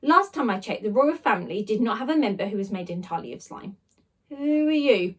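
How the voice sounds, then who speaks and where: A woman reading a children's story aloud like a teacher, with dramatic, expressive delivery and emphatic sound words. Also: medium pitch, fast talker, breathy edge. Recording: voice a little distant from the microphone.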